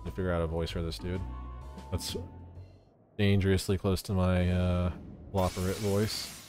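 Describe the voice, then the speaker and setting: Low-voiced, blunt male game streamer. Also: flat delivery, normal speed, music in the background. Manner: blunt, joking, sweary. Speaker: gaming streamer. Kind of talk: casual game commentary.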